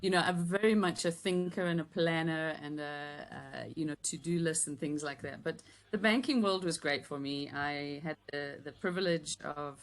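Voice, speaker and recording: A woman in her fifties with a softened Yorkshire accent and a low, steady voice. She talks as an interview guest, chatty and reflective, pausing often on ums. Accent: Yorkshire English softened by South African years. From Yorkshire, England. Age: 50s. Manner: warm, chatty, thoughtful with frequent ums and light laughter. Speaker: guest, business coach. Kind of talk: casual interview chat.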